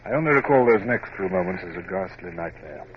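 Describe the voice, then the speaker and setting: Naval officer memoirist, low register, formal, literary and reflective, dull noisy old radio-drama sound. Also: male voice, lively intonation, fairly quick. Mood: sombre. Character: reflective, scrupulous young male Royal Navy officer narrating memoirs. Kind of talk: dramatic first-person radio drama narration.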